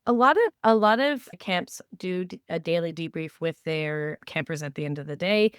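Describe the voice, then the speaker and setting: A woman's voice: lowish voice, normal speed, clean tone, dry recording, clean studio sound. A woman co-hosting a podcast, giving conversational advice in an enthusiastic, emphatic way and acting out the remarks she quotes. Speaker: podcast co-host.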